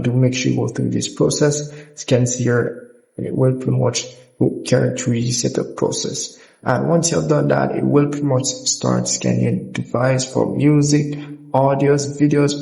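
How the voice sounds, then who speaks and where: A man's voice: medium pitch, creaky, dark tone, rough recording. A man presenting a casual, unscripted app walkthrough in a rambling, repetitive way.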